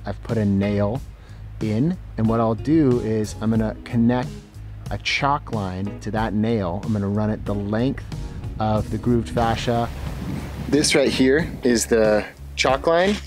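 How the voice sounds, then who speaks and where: A man's voice: fairly low pitch, unhurried, darkish tone, soft background music. A man hosting a home renovation vlog, plainly explaining each step of a DIY job in a casual way. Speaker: host of a home renovation channel.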